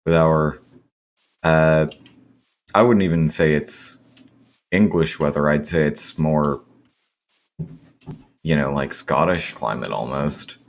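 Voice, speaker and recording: A man with a hoarse, scratchy voice from a sore throat, rambling with many ums and self-corrections as he comments on his gameplay. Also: rumbling low, takes it slowly, long pauses. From Seattle area, US. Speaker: gaming video host.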